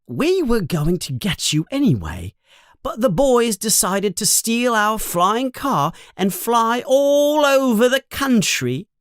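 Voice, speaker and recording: A man's voice: extremely high pitch, wildly swooping pitch, slow, a bit bright, slightly rough, dry recording, studio quality, pitched above the performer's own voice, heavily acted. A man voicing a stern, plump, motherly woman who scolds shrilly and turns sweet with guests. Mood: scolding.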